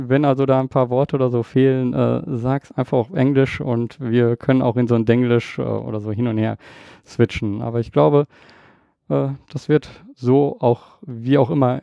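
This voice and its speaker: A man's voice: medium pitch, vocal fry, mellow, no room sound, muffled recording. A man hosting a podcast, introducing his guest and asking questions in a chatty way with many fillers. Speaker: podcast host. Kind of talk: casual podcast interview.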